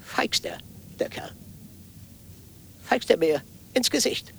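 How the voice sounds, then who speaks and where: An actor playing an irascible hotel landlord in a radio play, gruff, blustering and contemptuous as he scolds. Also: sits high, very animated, slow, slight background noise, exaggerated voice. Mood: angry, scornful. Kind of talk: German radio play acting.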